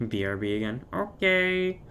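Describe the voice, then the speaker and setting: A man with an Australian accent and a croaky voice, chatting playfully and joking while he streams a game. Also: mid-range voice, sing-song, normal speed, bright, forceful tone, slight background noise. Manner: joking, playful, self-mocking. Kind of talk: casual gaming chat.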